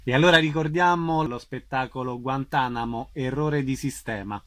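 A man's voice: mid-range voice, normal speed, a bit bright, no echo, clean studio sound. A man working as an interviewer, putting questions to his guests.

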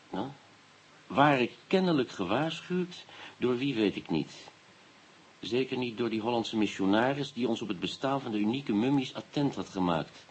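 Husky mid-pitched investigator in a radio drama, dry, sardonic, asks stuff slowly and deliberately. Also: male voice, darkish tone, poor audio. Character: probing, dryly sardonic visiting investigator.

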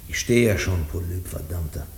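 Surly condemned man, low-voiced. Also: normal speed, gravelly, a little mellow. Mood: sullen, defiant. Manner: curt, sullen. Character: surly, defiant condemned man, refusing to explain himself. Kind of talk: German radio play acting. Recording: roomy, noisy old radio recording.

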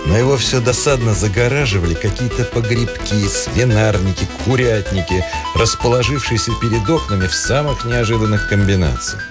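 A man's voice: lowish voice, moderate pace, hardly any pauses, over loud music, close to an everyday voice. A man narrating a literary story as part of a radio play.

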